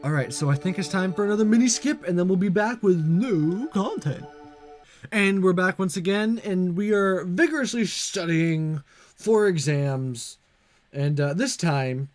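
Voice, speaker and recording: A man hosting a visual novel let's play, reading the story aloud with casual, playful asides. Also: sits high. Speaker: gaming video host.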